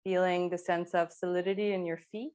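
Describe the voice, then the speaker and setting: A woman teaching yoga, giving calm, unhurried and gently encouraging guidance through movement and breathing. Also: lowish voice, clean tone. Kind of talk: guided slow movement and breathing instruction.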